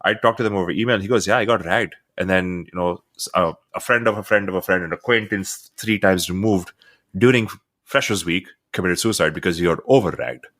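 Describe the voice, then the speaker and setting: A man with a very low, deep voice talks quickly, pushing his points with emphasis. He grew up in Dubai and co-hosts a casual film podcast, often doubling words as he gets going. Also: a bit bright. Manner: emphatic, quick, repeats words when starting. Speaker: podcast co-host.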